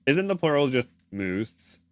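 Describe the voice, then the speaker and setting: A man's voice: mid-range voice, sing-song, unhurried, dry recording, clean studio sound. A man co-hosting a podcast, chatting casually and reacting in a playful, giggly way. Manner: giggly, playful, puts on silly quotes. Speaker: podcast co-host.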